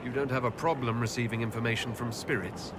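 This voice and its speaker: A man as a composed heroic leader, mid-pitched. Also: steady tone, fast, heavy background noise, natural voice. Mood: inquiring. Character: composed male elf hero, inquiring leader of an inquisition. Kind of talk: video game dialogue acting.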